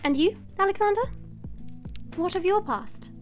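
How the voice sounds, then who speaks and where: A woman playing a gentle, courteous young princess in a fantasy audio drama, politely inquisitive. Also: very high voice, moderate pace, faint music behind. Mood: curious.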